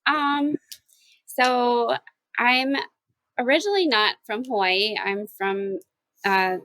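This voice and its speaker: A woman's voice: fairly high pitch, takes it slowly, fairly bright tone, no echo, rough recording. A woman from Mississippi, a house church pastor, chatting as a podcast guest with light, playful humor. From Mississippi, US South. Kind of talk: casual podcast conversation.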